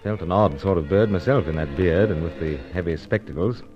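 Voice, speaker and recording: A male actor plays a secret agent from an old-time radio adventure, wary and clipped. His voice sits low as he narrates with gentlemanly, old-fashioned turns of phrase. The sound is slightly dull, with music underneath. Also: fairly quick, close to an everyday voice. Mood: wry, narrating. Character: edgy British secret agent disguised as bearded doctor, narrating adventure. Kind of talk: old-time radio serial acting and first-person narration. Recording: dull lo-fi audio, music behind.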